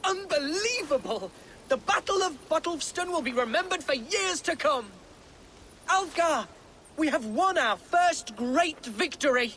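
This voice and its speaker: Pompous young Saxon noble: high, animated, florid, over game score. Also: male voice, a little slow, slight background noise, full character voice. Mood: melodramatic. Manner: pompous, theatrical, florid speech. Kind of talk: video game cutscene acting. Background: orchestral game music.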